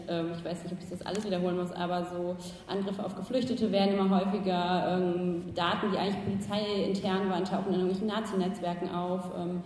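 A woman's voice: medium pitch, warm tone, a little hiss or hum. A woman moderating a panel discussion, speaking hesitantly with many fillers. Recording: echoey hall, distant mic.

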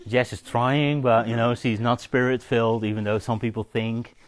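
A man giving an informal, story-led sermon as a preacher, halting and conversational, often checking in with his listeners. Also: mid-range voice, fairly even intonation. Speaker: preacher teaching on spiritual gifts.

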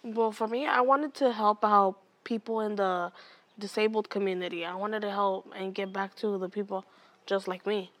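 A young woman from Oakland, an educator and mentor, answering interview questions outdoors in a slow, careful way. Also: medium pitch, steady tone, a bit bright. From Oakland, California.

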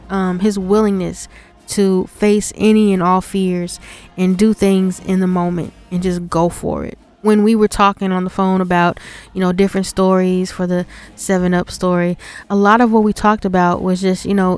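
A woman's voice: fairly low pitch, darkish tone, clean tone. A woman hosting a podcast, giving a conversational introduction to a guest segment. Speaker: podcast host.

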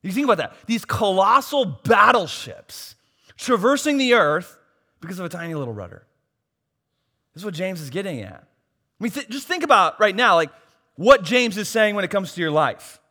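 A pastor preaching a sermon, losing his voice and swinging from soft asides to loud, emphatic points. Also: high voice, very animated, moderate pace. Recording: long hall reverb.

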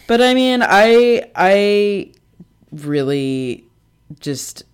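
An American co-host with a mid-pitched voice talks casually, off the cuff. The delivery is hesitant and rambling, with thoughts that circle and restart in a relaxed, conversational way. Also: drawn-out words, clear, resonant tone. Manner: hesitant, rambling, heavy use of "like". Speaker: co-host of a TV discussion show. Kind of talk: casual unscripted chat about television.

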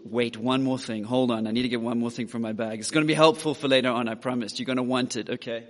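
A man, his voice hoarse and raspy after a day of preaching. He jokes and chats easily with the congregation in a casual sermon style. The sound rings with the long reverb of a large church hall. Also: mid-range voice, fast. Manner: joking, lively and chatty with the congregation. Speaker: youth pastor.

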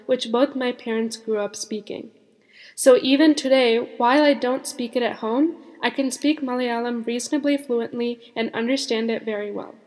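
A woman's voice: on the high side, darkish tone. A teenage young woman, co-hosting a youth podcast in a scripted, structured way. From born in India, moved to the United States before kindergarten. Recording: reverberant room with long echo.

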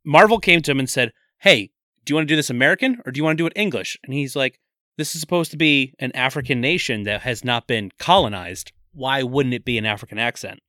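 A man's voice: mid-range voice, animated, fast talker, pushed and bright, dry recording, clean studio sound. A man co-hosting a casual movie podcast, retelling the plot with easy laughs. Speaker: podcast co-host.

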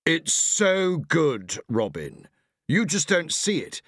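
A man voicing a smart old gentleman, a literary agent who savours his effusive praise, in an audiobook. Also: fairly high pitch, sing-song, takes it slowly, dry recording, studio quality, voice pushed up, exaggerated voice. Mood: relishing.

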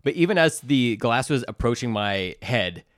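A man chats as a podcast co-host with lively, swinging intonation. He is enthusiastic and crude in his joking, and the sound is dry and close. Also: medium pitch, normal speed, dry recording. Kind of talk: casual comedic banter, taste-testing sodas.

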